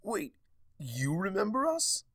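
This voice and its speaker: A man's voice: high-pitched, wildly swooping pitch, slow, a bit bright, breathy edge, dry recording, studio quality, pitched above the performer's own voice, exaggerated voice. A man voicing a small young male dragon who is earnest, blunt and a little awkward, in a fan fiction reading. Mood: surprised.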